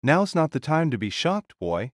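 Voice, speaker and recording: Audio drama role: man as huge warrior king, blunt and protective, sighing, slightly raised pitch, very dry recording. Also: very animated, moderate pace, no echo. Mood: grave, urgent. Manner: frank, admonishing, sighing.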